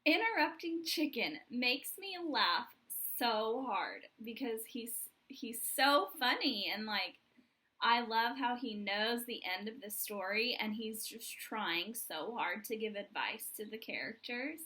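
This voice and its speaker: A woman's voice: on the high side, expressive, takes it slowly, sharp tone. A woman reading a children's picture book aloud expressively, as if to a class. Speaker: storybook reader for a class.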